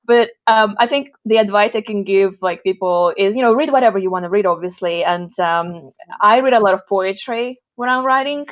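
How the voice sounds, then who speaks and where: A woman's voice: mid-range voice, normal speed, hardly any pauses, dry recording. A woman with Russian as her first language talks on a lo-fi online call with a slightly dull sound. She is an effusive author who rambles through casual advice, often cutting into her own sentences. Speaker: author, panellist. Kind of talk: casual panel chat, giving writing advice. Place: online video panel.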